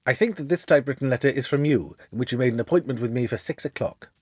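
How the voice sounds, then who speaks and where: A man voicing a shrewd, self-assured gentleman detective for a storybook, with a precise, theatrical, lecturing manner. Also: mid-range voice, fast talker, breathy edge, no echo, studio quality, natural voice. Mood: brisk, courteous.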